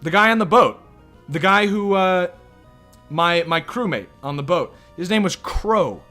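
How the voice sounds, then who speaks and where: A man streaming a video game, chatting loosely and swearing often as he answers his viewers. His voice is bright and forward, with faint game music under it. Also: high voice, animated, a little slow. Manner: profane, rambling, reacting to chat. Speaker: let's play streamer. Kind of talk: casual gameplay commentary.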